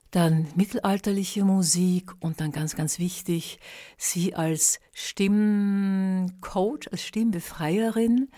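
A woman hosting a radio tribute speaks in a somewhat low voice. She is earnest, piles up descriptions one after another, and often restarts a phrase midway. Her delivery stays that of a spoken presentation. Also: dark tone. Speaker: radio host.

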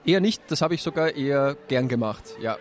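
A man's voice: medium pitch, animated, brisk, a faint crowd. A man, a teacher answering interview questions outdoors at a street festival in a friendly, candid way.

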